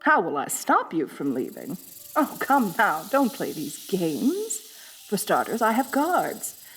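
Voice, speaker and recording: A woman's voice: medium pitch, huge pitch swings, slow pace, fairly bright tone, faint music behind, heavily acted, rough recording. A woman playing a rich, bossy lamia mistress in a roleplay audio drama, haughty and condescending with affected elegance. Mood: domineering, smug. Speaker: wealthy mistress addressing a new servant.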